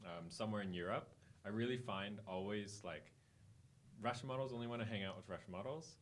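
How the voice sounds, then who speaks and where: A man with a fairly low voice hosts an interview. He asks his questions in a relaxed manner and murmurs agreement while others talk, with faint background noise under him. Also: fairly quick. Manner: relaxed, frequent "mm" backchannels. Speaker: host.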